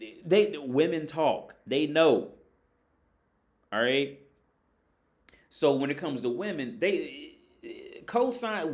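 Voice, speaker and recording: A man from the United States hosting a casual call-in livestream, talking loosely with lots of swearing and laughter. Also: on the high side. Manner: loose, profane, laughing, animated.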